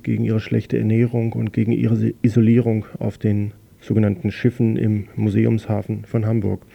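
Male news presenter, very dark gravelly voice, flat measured delivery. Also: on the low side, lo-fi sound. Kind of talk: read news introduction.

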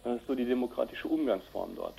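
A man's voice: mid-range voice, fast talker, creaky, dark tone, breathy edge. A man giving matter-of-fact, explanatory answers in an informal radio interview. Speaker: interviewed activist reporting on an Indian dam project.

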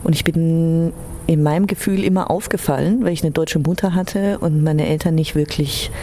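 A woman's voice: sits low, steady tone, normal speed, warm tone, a little hiss or hum. A woman who grew up in Iran and Germany, sharing personal memories as an interviewee.

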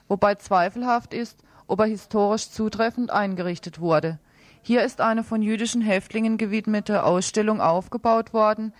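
A woman's voice: medium pitch, no room sound. A woman co-presenting a radio news programme, reading out reports and announcements.

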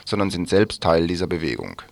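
A man with a fairly low voice reads prepared radio copy. The sound is dry, with little room around the voice. He delivers it as a scripted news and article reading. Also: big pitch swings, fairly quick, creaky, no room sound. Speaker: radio newsreader.

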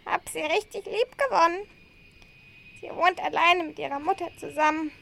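Creaky high old grandma played by a woman, caring, insistent, chatty, radio drama, faint background noise. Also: moderate pace, bright, forceful tone, exaggerated voice. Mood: wistful, chatty. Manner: fussing, chatty, sighing, uses southern German dialect words. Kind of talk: amateur youth radio play acting.

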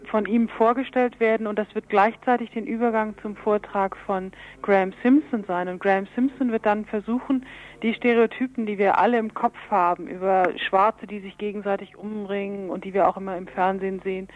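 A woman's voice: mid-range voice, steady tone, brisk. A woman speaking as an aid organisation representative, explaining her work in a radio interview. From Berlin, Germany. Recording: dull band-limited radio interview recording.

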